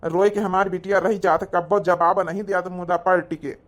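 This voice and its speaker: A woman voicing a mild, doting old village father with an Awadhi accent and a gentle, plaintive manner in a cartoon. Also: on the low side, steady tone, fairly quick, lightly acted. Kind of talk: Hindi cartoon comedy acting.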